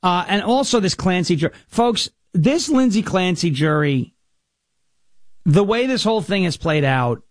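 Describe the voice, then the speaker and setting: Raspy high-voiced American male talk host. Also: expressive, a little slow, dry recording, studio quality. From New York, United States. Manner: emphatic, jokey, slips into impression bits. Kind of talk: political talk show monologue with comic bits.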